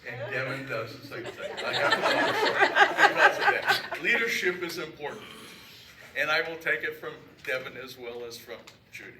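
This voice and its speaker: A man reporting as a church trustee at a council meeting, loud and joking, talking freely off his notes into a handheld mic. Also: fairly high pitch, very animated, normal speed. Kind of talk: informal talk at a meeting. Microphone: handheld microphone. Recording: echoey room, mic far off, background noise.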